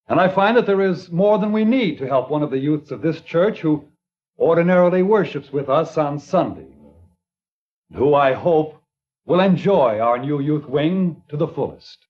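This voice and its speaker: An actor playing an earnest small-town preacher in a 1950s radio drama, reading scripture in a measured, solemn way. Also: male voice, fairly high pitch, expressive, natural voice. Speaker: reverend. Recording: dull old radio transcription.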